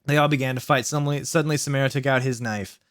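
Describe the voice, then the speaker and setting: A man's voice: medium pitch, fairly even intonation, fast talker, fairly bright tone, no echo, studio quality. A man hosting a read-aloud of fan fiction, delivering it theatrically with exclaimed quotes and mocking asides of his own. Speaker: host reading fan fiction aloud as a challenge.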